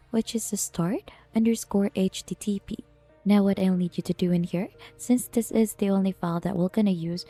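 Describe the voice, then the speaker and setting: Female tutorial narrator, lowish and soft-edged, instructional step-by-step delivery, music underneath. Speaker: software tutorial presenter. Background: background music.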